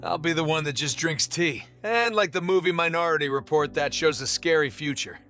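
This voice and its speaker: Man, comic voice-over narrator, playful and joking, addresses the viewer directly, high voice, soft music underneath. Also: fairly quick. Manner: playful, jokey, talks straight to the viewer. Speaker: explainer video narrator.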